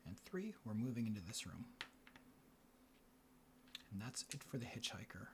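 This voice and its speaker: Soft-spoken, whispering ASMR man, background noise. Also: fairly low pitch, big pitch swings. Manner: murmured, unhurried play-by-play to himself and the players. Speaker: host of a board game ASMR show. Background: room ambience, board game handling sounds.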